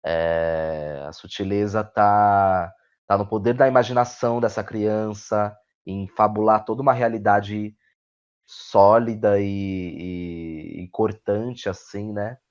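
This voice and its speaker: Low-voiced Brazilian man, dull lo-fi sound. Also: slow pace, a little mellow, clear, resonant tone. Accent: Brazilian Portuguese. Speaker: interview guest, playwright. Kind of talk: reflective conversational interview. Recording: dull, lo-fi audio.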